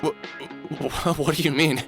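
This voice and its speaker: A man's voice: on the high side, steady tone, moderate pace, gravelly, a bit bright, soft background music. An actor playing a weary, wry middle-aged man in a video game, talking in a dry, grumbling way. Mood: flustered. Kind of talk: video game voice acting, muttered inner monologue and dialogue.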